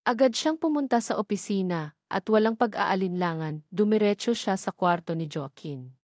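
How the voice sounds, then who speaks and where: A woman narrating a scripted love story in a steady, even reading voice. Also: medium pitch, moderate pace, dry recording, studio quality.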